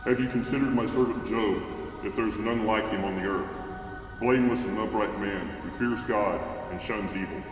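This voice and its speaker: Man playing a low, cavernous supernatural Bible voice. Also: normal speed, lightly acted. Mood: solemn. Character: God and Satan in a dramatized Bible story, solemn and commanding. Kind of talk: church class Bible drama, recited scripture. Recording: very echoey, distant, faint music behind.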